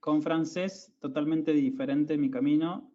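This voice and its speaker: A man's voice: a little high, steady tone, unhurried, mellow. A young Argentine man hosting a podcast, chatting casually with lots of fillers and restarts. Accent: Argentine Spanish. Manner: hesitant, many fillers and restarts. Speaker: podcast host. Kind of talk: casual conversation. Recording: dull lo-fi audio.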